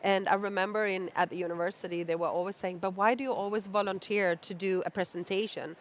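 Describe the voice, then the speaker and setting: A woman speaks as a guest on a conference panel. Her voice sits at an ordinary pitch, and she talks earnestly, with a slightly halting flow. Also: steady tone, slight background noise. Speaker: panel guest, programme manager.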